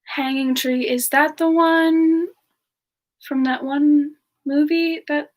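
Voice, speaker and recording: A woman plays a young frost demoness with a high, soft voice. She talks slowly and hesitantly, with a sweet, affectionate temper, as if chatting gently with viewers on an in-character ASMR stream. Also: steady tone. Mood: soft, wistful. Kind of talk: semi-ASMR in-character live Q&A.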